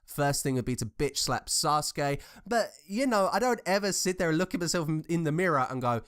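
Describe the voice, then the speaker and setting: A man's voice: fairly high pitch, lively intonation, a little fast, fairly bright tone, smooth. A young man from England with a southern English accent, giving casual ranting commentary in an informal, sarcastic, sweary way. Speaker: commentator reacting to social media posts.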